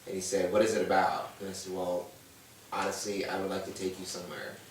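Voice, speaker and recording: A man's voice: sits low, flat delivery, fast, slight background noise. A man speaking as a guest on a panel chat show, telling his story earnestly and acting out what others said. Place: room with a brunch panel. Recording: echoey room, distant mic.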